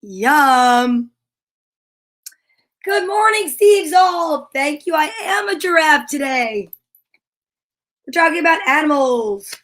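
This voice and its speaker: A woman's voice: sits high, animated, slow, long pauses, fairly bright tone. A woman hosting a live children's story time, reading aloud and chatting to viewers with playful, encouraging exclamations. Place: at home.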